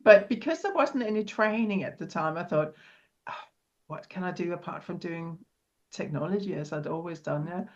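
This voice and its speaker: A woman's voice: on the low side, animated, normal speed, muffled recording. A middle-aged woman with a Danish accent, a podcast guest telling her story in casual chat and laughing often. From Denmark.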